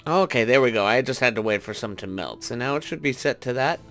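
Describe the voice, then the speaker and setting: A man's voice: mid-range voice, expressive, quick pace, fairly bright tone. Man casually narrating gameplay over music. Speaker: gaming video host. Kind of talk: casual gameplay commentary, thinking aloud.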